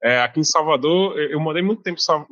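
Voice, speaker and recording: A man's voice: fairly high pitch, quick pace, a bit bright, no room sound, dull audio. A man from Bahia, a guest author talking casually in an interview, with many fillers and restarts. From Bahia, Brazil.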